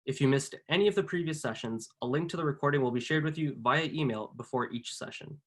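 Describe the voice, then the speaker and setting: Brisk male webinar host. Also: fairly high pitch, a bit bright. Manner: brisk, reading a prepared introduction. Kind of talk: scripted webinar introduction.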